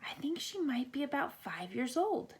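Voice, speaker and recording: A woman narrating a children's bedtime story in deliberate, short, simple phrases. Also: high voice, sing-song. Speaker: storybook reader.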